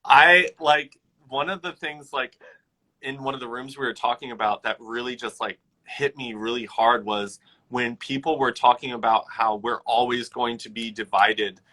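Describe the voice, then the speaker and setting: A man's voice: mid-range voice, fairly even intonation, normal speed, sharp tone, rough recording. A man talking reflectively but firmly in a casual conversation, leaning on "like" as a filler. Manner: reflective, lots of "like" fillers, firm.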